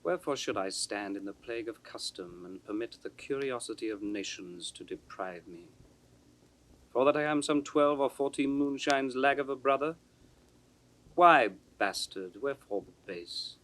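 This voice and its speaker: An actor playing a scheming, bitter young nobleman's bastard son in classical Shakespearean style, theatrical and deliberate, sometimes dropping to a conspiratorial whisper. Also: mid-range voice, lively intonation, a bit bright, natural voice, low-quality recording. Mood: defiant, brooding. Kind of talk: classical Shakespearean stage acting. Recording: 1960s studio audio drama recording.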